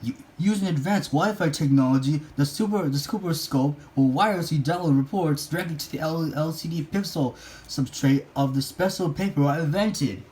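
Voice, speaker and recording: A man's voice: on the high side, moderate pace, a little mellow, voice pushed up, close to an everyday voice. A man voicing an eager, boastful boy genius from a cartoon, speaking in emphatic declarations, in a storybook read-along. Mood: explaining.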